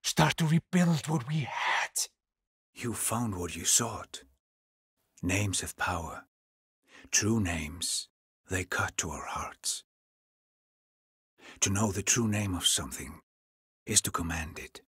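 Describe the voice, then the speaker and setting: A man playing a weary, haunted Norse settlement leader in a video game, speaking gravely and deliberately in a husky, breathy voice. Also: sits low, expressive, a bit bright, dry recording, clean studio sound, full character voice. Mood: pleading, insistent, then solemn, mystical. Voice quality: husky, breathy, often near a whisper. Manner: slow, deliberate, grave, with pauses. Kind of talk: dramatic video game acting.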